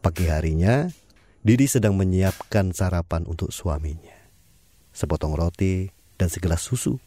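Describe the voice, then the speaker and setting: A man narrating an audio drama in a calm, measured storytelling manner. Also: low voice, a little hiss or hum.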